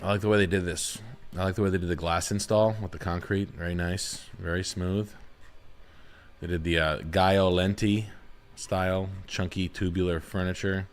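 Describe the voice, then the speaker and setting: A man's voice: low voice, flat delivery, moderate pace. A young adult man hosting a livestream, rambling in a crude, laid-back way. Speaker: host. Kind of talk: casual livestream chat.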